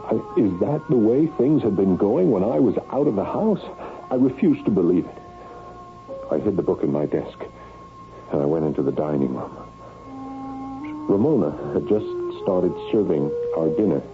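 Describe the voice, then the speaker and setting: A man's voice: deep voice, animated, moderate pace, narrow, tinny audio. An actor playing a meek, nervous, suspicious husband in a radio drama, telling his own story and hesitant in speech. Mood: suspicious, brooding. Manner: stammering, hesitant when addressed. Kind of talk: old-time radio drama acting, first-person narration. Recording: band-limited radio broadcast with music behind.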